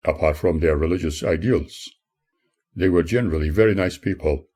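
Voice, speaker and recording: An older man, an author, reads his own memoir as scripted audiobook narration in a formal, measured manner. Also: sits low, a little mellow.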